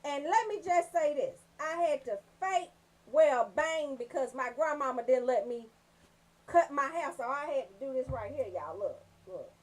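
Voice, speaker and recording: A woman with a Southern US accent telling comedic stories as a radio host, brash, animated and freely swearing. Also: high-pitched, normal speed. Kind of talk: comedic storytelling on a casual talk show.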